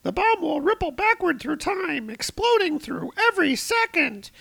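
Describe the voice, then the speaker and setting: A man voicing a panicked green tentacled male god of bombs, shrill and frantic, as he reads a comic aloud. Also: very animated, moderate pace, creaky, sharp tone, no echo, full character voice.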